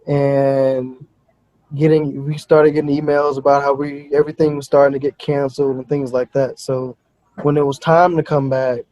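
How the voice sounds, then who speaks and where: A young man from Texas telling a story as a podcast guest, animated and exasperated, heard over dull call audio. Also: mid-range voice, normal speed, no room sound. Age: young adult. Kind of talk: casual storytelling.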